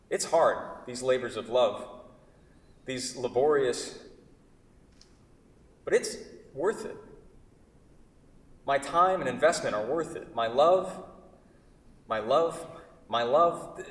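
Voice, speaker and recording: A man performs an intense artist, wounded and exacting, in a staged play. His pitch moves widely around a middle register. The voice rings from far off in a reverberant hall. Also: natural voice. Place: theatre hall. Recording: distant mic in echoey hall.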